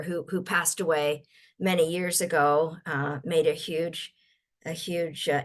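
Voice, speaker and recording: Older woman from Ontario, slightly croaky, lowish voice, halting speech with many ums. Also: fairly bright tone. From Ontario, Canada. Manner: halting, many fillers and restarts. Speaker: guest speaker. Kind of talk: webinar talk.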